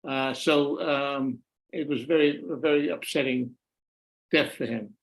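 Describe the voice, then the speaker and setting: A man's voice: medium pitch, unhurried, vocal fry, rough recording. An older man, an author being interviewed on a video call, answering thoughtfully with many restarts and repeated words. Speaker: author and former journalist, guest. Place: home room.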